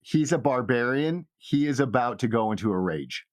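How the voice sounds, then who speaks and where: A man's voice: mid-range voice, animated, moderate pace. A man speaking as game master of a tabletop role-playing show, in casual narration.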